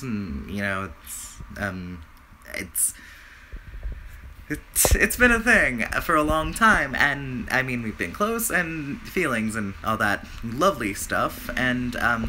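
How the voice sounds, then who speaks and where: A man with a bright, raspy voice, just getting over the flu, rambling through a casual update to his viewers. He keeps restarting his sentences and dropping in fillers. A faint hiss of noise sits behind him. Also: mid-range voice, low-quality recording. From Canada. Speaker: vlogger.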